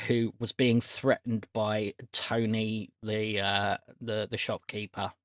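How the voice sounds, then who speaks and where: A man's voice: lowish voice, level tone, takes it slowly, no echo. Man hosting, close dry sound. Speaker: podcast host and game master. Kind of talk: casual chat and game recap.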